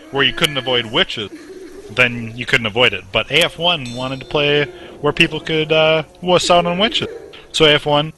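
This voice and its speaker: Bright-voiced male esports play-by-play caster. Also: fairly high pitch, poor audio. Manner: teasing, brisk play-calling. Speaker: esports commentator. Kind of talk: live play-by-play commentary.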